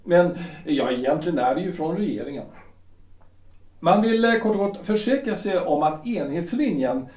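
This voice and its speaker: A man's voice: on the high side, animated, a little mellow, echoey room, far from the mic, natural voice. An actor playing a pompous male shipyard director who speaks in hesitant, insinuating phrases. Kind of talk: audio drama acting.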